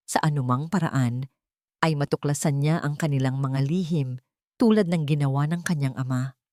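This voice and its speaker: A woman narrating a scripted dramatic story in measured short phrases. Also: low-pitched, a little mellow, no room sound, clean studio sound.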